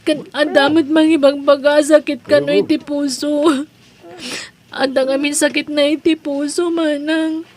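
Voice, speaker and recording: A woman's voice: high-pitched, level tone, measured pace, darkish tone. An actress playing a devoted, anguished young woman in an Ilocano audio melodrama, speaking earnestly and emotively with an Ilocano accent. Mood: grieving. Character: Edna, devoted young woman, protective, anguished over her uncle. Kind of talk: Ilocano radio-style melodrama acting. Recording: music under the voice.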